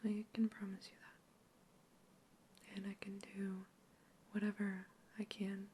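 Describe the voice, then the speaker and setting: Softly whispering woman, calm and even. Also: mid-range voice, slow pace, a little hiss or hum. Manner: soft whisper throughout, calm and reassuring; plays a scientist observing and questioning an alien. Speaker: ASMR creator. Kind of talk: whispered sci-fi ASMR roleplay. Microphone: close ASMR microphone.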